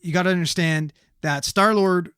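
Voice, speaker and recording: Guy ranting casually on a podcast, kinda high voice, dry close sound. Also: normal speed, a bit bright, clear, resonant tone, dry recording. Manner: emphatic, swears a lot, explains at length. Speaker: podcast co-host. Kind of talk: casual chat. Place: studio.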